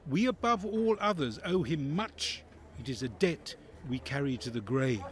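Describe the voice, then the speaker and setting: Guy acting a wary devoted courtly advisor in a radio play, really expressive pitch, formal and theatrical, bit of insect noise. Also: moderate pace, lightly acted. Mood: guarded, sombre. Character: devoted, wary male counsellor to a noble family, secretly yearning. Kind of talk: audio drama acting with inner monologue.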